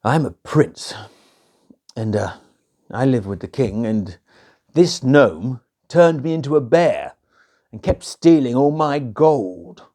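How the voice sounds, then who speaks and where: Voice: mid-range voice, animated, slow pace, dark tone. A storyteller voicing a young fairy-tale prince who explains himself in a plain, hesitant way. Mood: explaining.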